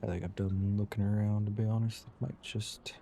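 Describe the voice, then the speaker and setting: A man's voice: on the low side, flat delivery, brisk, dark tone, a little hiss or hum. A man streaming a video game, giving casual live commentary in offhand, muttered remarks to himself.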